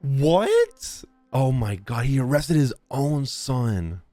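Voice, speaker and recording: A man's voice: medium pitch, big pitch swings, slow, warm tone, no echo. A man streaming a video game, reading on-screen text aloud and reacting casually. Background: game music.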